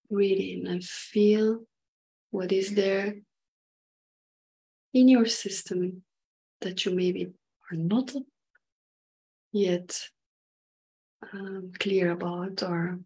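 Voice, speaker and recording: A woman leading an unscripted guided meditation in short halting phrases, with a breathy voice that sometimes nears a whisper. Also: on the low side, mellow, poor audio.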